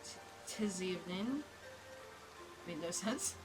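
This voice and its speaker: A young woman streaming while drawing, talking in a rambling, chatty way full of fillers, her voice slightly raspy. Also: lowish voice, lively intonation, slow pace, over music, rough recording. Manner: rambling, chatty, self-interrupting with frequent fillers. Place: home streaming setup.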